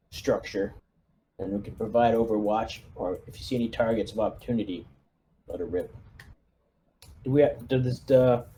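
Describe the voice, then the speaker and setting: Male tabletop player, mellow and relaxed tone, casual squad-leader talk, faint background noise. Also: mid-range voice. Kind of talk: casual in-game tactical chat.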